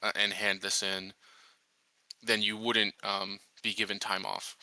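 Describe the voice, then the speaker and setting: A man with a very bright, forceful voice pitched a little low, talking through ideas in a tabletop game. He is casual and halting, working out his thoughts as he goes, and the sound is close and dry. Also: little pitch movement, no echo, rough recording. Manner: hesitant, halting, thinking aloud. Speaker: player in a tabletop roleplaying game.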